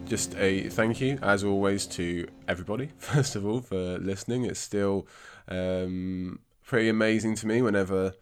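A man's voice: on the low side, darkish tone. A man from England hosting a book podcast in a chatty, self-deprecating way, his voice congested and his throat scratchy from a cold. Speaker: podcast host. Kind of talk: casual book podcast monologue.

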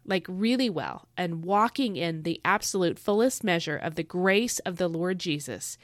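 A woman's voice: on the low side, dry recording, studio quality. Stuffy-nosed woman hosting a devotional podcast. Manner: earnest and emphatic, repeats phrases for stress; chatty with fillers and asides. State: stuffy from a head cold. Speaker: podcast host.

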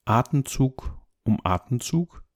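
A man leading a guided meditation as an instructor, speaking calmly and gently in measured, unhurried phrases. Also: medium pitch. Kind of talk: guided body scan meditation, soft instruction.

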